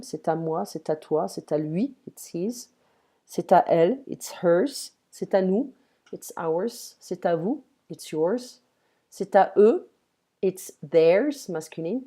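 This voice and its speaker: A woman teaching grammar in English, patiently modelling each word slowly. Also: lowish voice, expressive, mellow. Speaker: French tutor. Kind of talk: one-on-one language lesson, explaining grammar.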